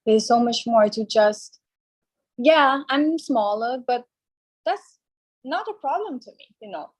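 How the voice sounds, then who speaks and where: A young woman, a pageant contestant from France whose first language is Cambodian, chatting relaxed and a little hesitant on a video call interview. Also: a little high, takes it slowly. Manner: relaxed, chatty, with frequent fillers.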